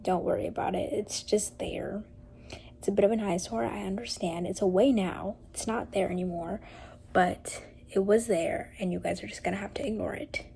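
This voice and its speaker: A woman with a congested voice talks straight to viewers in a casual voice-over, chatty and a little exasperated. Her tone is dark and mellow, with a gravelly fry running through it. Faint noise sits behind her. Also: medium pitch, steady tone, normal speed. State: sick and congested. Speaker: video editor voice-over.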